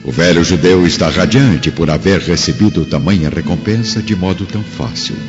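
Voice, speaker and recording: A man's voice: low-pitched, normal speed. A man giving scripted dramatic narration for a radio play. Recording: reverberant, muffled, music behind.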